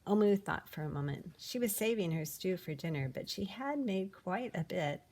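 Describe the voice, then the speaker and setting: A woman reading a children's picture book aloud in an expressive, animated way, with dramatic pauses. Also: lowish voice, a little hiss or hum. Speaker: storybook reader.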